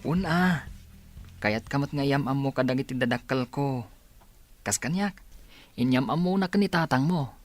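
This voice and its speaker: A man's voice: medium pitch, normal speed, pauses often, faint music behind, natural voice. A man acts a teenage schoolboy in a radio play. He is a friendly, persuasive pal. His speech carries an Ilocano accent. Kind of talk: radio drama acting.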